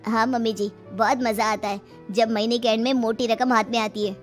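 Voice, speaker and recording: High-voiced, eager young son-in-law, played by a woman. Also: fairly even intonation, fairly quick, faint music behind, natural voice. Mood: proud, pleased. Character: carefree, food-loving young son-in-law, eager and whiny. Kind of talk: acted dialogue in a Hindi comedy story cartoon.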